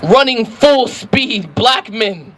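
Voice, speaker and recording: A man's voice: very high-pitched, lively intonation, takes it slowly, fairly bright tone. An American man delivering impassioned spoken-word poetry in an emphatic, rhythmic, declamatory way at an outdoor rally.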